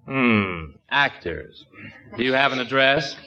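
Animated, fussy shop manager. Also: male voice, medium pitch, slow. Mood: businesslike. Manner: fussy, formal. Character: officious, flustered, polite dry-cleaning manager. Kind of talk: 1940s radio comedy acting. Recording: dull 1940s radio recording.

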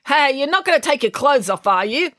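Storybook role: woman playing a sardonic boy, blunt and quick to alarm, with animated intonation. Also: mid-range voice, dry recording, clean studio sound, pitched above the performer's own voice.

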